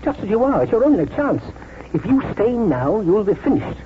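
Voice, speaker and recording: An actor playing a kindly, determined older country doctor in earnest, theatrical 1940s radio drama style. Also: male voice, high-pitched, sing-song, normal speed, noisy background. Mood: urgent, insistent. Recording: muffled 1949 radio broadcast, music behind.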